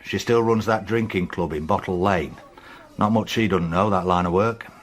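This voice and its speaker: An actor playing a dry, dogged police detective, understated in a radio drama. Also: male voice, low voice, moderate pace. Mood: dry, thoughtful. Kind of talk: naturalistic radio drama acting.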